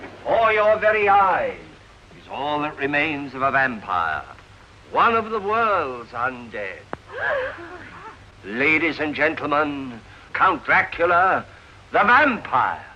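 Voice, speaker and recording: A man's voice: on the high side, wildly swooping pitch, slow, long pauses, sharp tone, muffled recording, a little hiss or hum, heavily acted. A man playing a brash fairground showman. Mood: showy. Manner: theatrical barker's patter.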